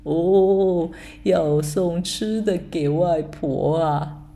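A woman voicing a sly, hungry male big bad wolf with a gruff, wheedling voice and sneaky chuckles in a bedtime storybook reading. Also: lowish voice, very slow, warm tone, heavily acted. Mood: coaxing.

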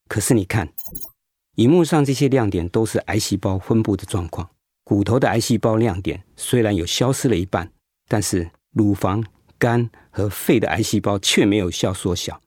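A man acting in a radio drama as a gentle, sympathetic doctor who explains and consoles in a measured way. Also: fairly low pitch, husky, no echo, clean studio sound. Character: gentle male doctor, calm, sympathetic hospital director.

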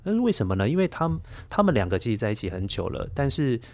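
A man's voice: medium pitch, sing-song, rapid-fire. Middle-aged Taiwanese man telling personal stories. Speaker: podcast storyteller, interview guest. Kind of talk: personal storytelling in a conversational interview.

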